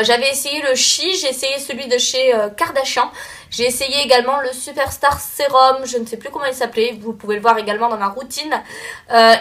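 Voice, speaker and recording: A woman's voice: fairly high pitch, a bit bright. A young woman with a slightly stuffy voice from a cold, chatting casually and rambling with many fillers about her monthly beauty favorites. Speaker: beauty vlogger.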